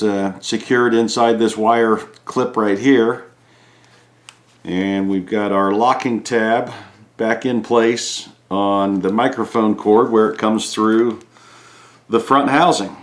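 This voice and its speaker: A man giving a casual hands-on repair walkthrough, halting as he thinks aloud while he works. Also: mid-range voice. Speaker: DIY repair video host. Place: indoors at a home kitchen countertop. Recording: echoey hard-surfaced room.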